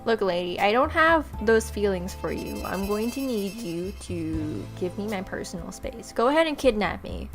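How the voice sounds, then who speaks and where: A woman's voice: medium pitch, normal speed, hardly any pauses. Young woman reading a game aloud, music behind. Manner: expressive, dramatic, sarcastic reactions. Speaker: let's play host. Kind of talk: reading visual novel text aloud with casual reactions.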